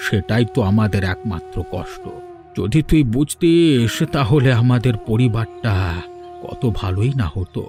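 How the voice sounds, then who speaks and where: A man's voice: mid-range voice, expressive, unhurried. A man voicing a sorrowful, dutiful older village father in a cartoon fairy tale. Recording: music under the voice.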